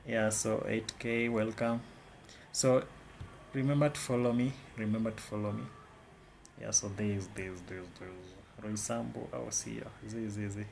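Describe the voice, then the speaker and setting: A man's voice: fairly low pitch, fairly even intonation, moderate pace, vocal fry, darkish tone, a little hiss or hum. A man with a Kenyan accent giving casual live commentary on his trades, often repeating words and phrases. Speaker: day trader.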